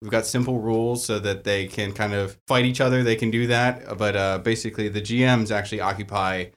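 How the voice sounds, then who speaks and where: A man's voice: fairly low pitch, steady tone, brisk. A man in casual podcast chat, explaining how he runs live-action games with dry humor. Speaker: larp game master.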